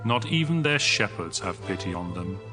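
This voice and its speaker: An actor playing a stern God in a scripture audio drama, speaking in a solemn, deliberate, commanding manner. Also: male voice, fairly low pitch, sing-song, over music. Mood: stern, pitiless.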